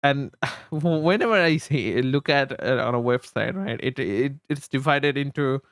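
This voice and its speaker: Slow-talking man streamer, smooth clear voice. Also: mid-range voice, a bit bright, no room sound, clean studio sound. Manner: halting, rambling, often repeats himself. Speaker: live streamer and host. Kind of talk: casual livestream chat with viewers. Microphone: close streaming microphone.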